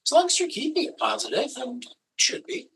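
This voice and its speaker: A man with a high, bright voice chats casually about working on camera, handing out practical tips at an easygoing pace. He sounds like a video producer at ease with his guest. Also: wildly swooping pitch, fairly quick, slight background noise, rough recording. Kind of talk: casual advice conversation.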